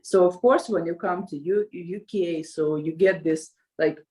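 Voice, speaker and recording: A woman's voice: on the low side, a little slow, darkish tone, rough recording. A woman with a Russian accent, a language school co-founder answering interview questions in a hesitant way, with frequent fillers and light laughs. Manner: hesitant, many fillers and restarts, laughs often.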